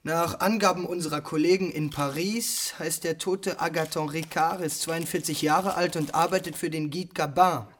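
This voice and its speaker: An actor playing a suave, probing police commissioner in a radio drama, formal and sprinkling in French and Latin phrases. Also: male voice, a little high, steady tone, normal speed, hardly any pauses, slight background noise, lightly acted.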